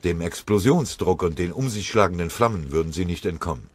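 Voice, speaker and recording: Very deep-voiced male audiobook narrator. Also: a little fast, husky, dry recording. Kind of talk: dramatic scripted war-story narration.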